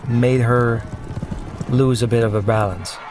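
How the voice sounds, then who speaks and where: A man, a film director, giving commentary on his film in a halting way, searching for words. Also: mid-range voice, steady tone, noisy background.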